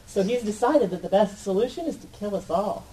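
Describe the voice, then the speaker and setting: A woman's voice: mid-range voice, animated, a little fast, dark tone, natural voice. A woman playing an exasperated young mad-science heroine in a live comedic radio play, dry and sarcastic. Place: live convention stage. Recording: distant live stage mic.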